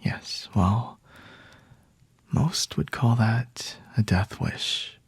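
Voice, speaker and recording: A man performs a flirty, condescending male vampire holding a captive, in intimate ASMR style. The voice is very breathy and gravelly, slipping often into whispers. He speaks in refined, teasing phrases with slight room echo around him. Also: fairly low pitch, drawn-out words, exaggerated voice. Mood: mocking, amused.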